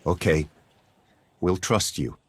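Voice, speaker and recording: A man's voice: low-pitched, animated, slow pace, husky, heavily acted. An actor playing a weary male refugee in a video game, speaking earnestly and pleadingly. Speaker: refugee leader. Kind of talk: video game cutscene acting.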